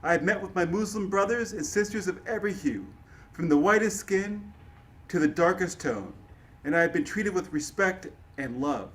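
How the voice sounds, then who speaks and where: Man acting as an earnest, high-voiced male activist orator. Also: lively intonation, moderate pace. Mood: reflective, resolute. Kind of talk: dramatic reading of historical dialogue.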